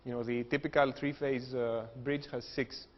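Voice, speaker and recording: A man's voice: medium pitch, little pitch movement, moderate pace. A man with a Greek accent, an engineering professor giving a technical lecture with jokes and frequent fillers. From Greece. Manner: joking, explanatory, frequent uh fillers. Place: lecture hall. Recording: dull lo-fi hall recording with long reverb.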